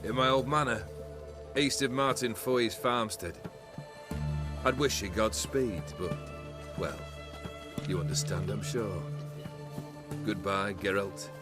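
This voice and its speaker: An actor playing a proud, brooding noble outlaw leader in a video game, speaking in a haughty, deliberate way. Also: male voice, on the low side. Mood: haughty, sardonic. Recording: game audio under loud music.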